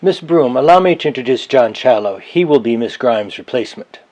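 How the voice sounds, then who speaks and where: A man's voice: mid-range voice, lively intonation, a little fast, darkish tone, close to an everyday voice. A man playing a fussy, irritable head librarian in a dramatized novel, formal and precise. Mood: businesslike.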